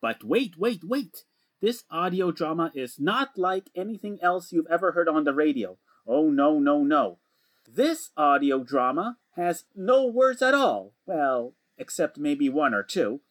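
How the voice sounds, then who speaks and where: A man's voice: on the high side, animated, measured pace, a bit bright. A man hosting radio in a playful, theatrical, showman-like way, addressing the listeners directly. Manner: theatrical, showman-like, with rhetorical questions and repeats. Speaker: radio show host. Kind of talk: playful scripted radio presenting.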